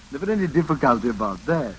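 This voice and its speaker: An actor playing a sly, sinister, falsely genial stranger in old-time radio suspense, courteous and insinuating. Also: male voice, medium pitch, sing-song, a little fast, lightly acted. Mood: menacingly genial. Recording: hissy, crackling old radio broadcast.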